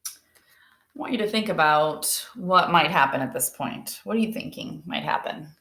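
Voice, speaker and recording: A woman's voice: fairly low pitch, expressive, moderate pace, fairly bright tone, echoey room. A woman teacher reading a picture book aloud expressively and putting questions to young listeners.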